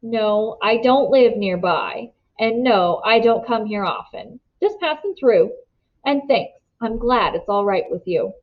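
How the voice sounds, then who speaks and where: Woman voicing an earnest teenage boy, lively pitch. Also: a little slow, dull audio. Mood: relaxed, friendly. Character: seventeen-year-old boy, easygoing but guarded, earnest.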